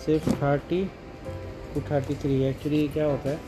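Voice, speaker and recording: A man's voice: fairly high pitch, little pitch movement, moderate pace, over music, low-quality recording. A man working as a shopkeeper, explaining products step by step to a customer in a mall shoe shop. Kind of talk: sales talk with a customer.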